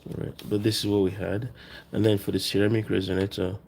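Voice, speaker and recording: A man presenting a casual hands-on electronics tutorial, explaining as he works in a halting, informal way, thinking aloud. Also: fairly low pitch, flat delivery, creaky, darkish tone, a little hiss or hum.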